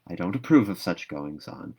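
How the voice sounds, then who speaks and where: A stern older woman from a storybook, read by a man in a low voice. The delivery is dry, brisk and practical, with steady disapproval and no patience for fancy. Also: husky. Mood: dry, disapproving.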